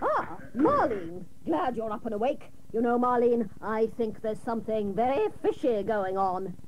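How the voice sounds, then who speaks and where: A bossy battle-axe of an aunt in a radio comedy, theatrical and exasperated. Her voice is high and swoops about as she scolds, on slightly noisy old cassette. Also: sing-song, normal speed. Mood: brisk, conspiratorial. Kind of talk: comic radio drama acting.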